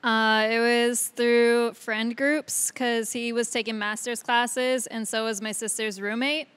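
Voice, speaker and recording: Woman with an even, highish voice, casually storytelling. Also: slow pace, smooth. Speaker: panel guest.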